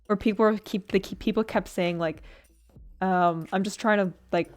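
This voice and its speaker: A woman's voice: medium pitch, fairly even intonation, normal speed, soft background music. A woman streamer chatting casually while building a model kit, rambling with lots of fillers and little laughs. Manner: rambling, filler-heavy, giggly, self-deprecating.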